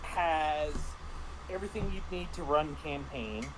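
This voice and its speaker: A man in a casual review discussion, talking haltingly with repeated words and false starts. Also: on the high side, lively intonation, over music, poor audio. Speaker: panelist reviewing a tabletop roleplaying game.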